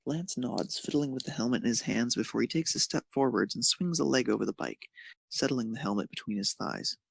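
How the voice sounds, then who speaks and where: A man's voice: medium pitch, a little fast, vocal fry, slightly rough, dry recording. A man reading scripted narration of a romantic fan fiction story.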